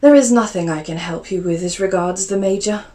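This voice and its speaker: A woman's voice: medium pitch, brisk, dark tone, distant mic, close to an everyday voice. A woman voicing a genteel aristocratic aunt who is fond and teasing, speaking in a prim, emphatic way and recorded a little off-mic.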